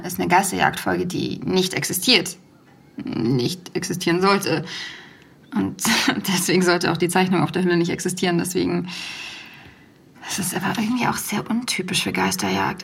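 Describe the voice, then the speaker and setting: An actress playing an excitable young woman who hosts a podcast in an audio drama, sometimes dropping to a whisper. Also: lowish voice, vocal fry, breathy edge, heavily acted. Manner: excitable, whispering when tense. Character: curious young woman podcaster hunting a lost tape. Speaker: podcast host in a fiction podcast.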